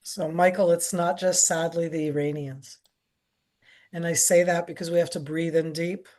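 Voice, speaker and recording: A middle-aged woman with an American accent speaks as a security analyst in an online briefing. She talks earnestly and with emphasis, often restarting her sentences. Her voice sits on the lower side for a woman. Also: steady tone, normal speed, darkish tone. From United States. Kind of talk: webinar briefing answer.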